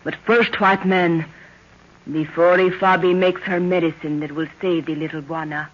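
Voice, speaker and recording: A woman's voice: fairly low pitch, steady tone, moderate pace, natural voice. An actress playing a proud, commanding young African witch woman in a 1930s radio adventure, with solemn, stilted, formal speech. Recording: muffled, noisy 1930s radio recording.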